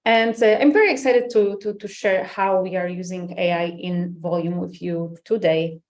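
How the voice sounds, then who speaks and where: A woman's voice: lowish voice, steady tone, normal speed, darkish tone. A woman hosting an online webinar in an upbeat, chatty way full of fillers. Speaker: webinar host, head of marketing. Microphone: video call.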